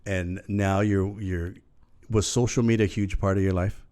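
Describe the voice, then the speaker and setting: A middle-aged man hosting a casual talk show, lively and chatty, often laughing at himself. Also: low-pitched, normal speed, clear, resonant tone. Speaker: host.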